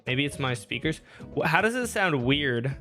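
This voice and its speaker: Bright-voiced young man streaming a game. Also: mid-range voice, sing-song, normal speed, faint music behind. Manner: rambling, self-joking, thinks aloud. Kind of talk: casual live-stream gameplay talk. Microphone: streaming microphone.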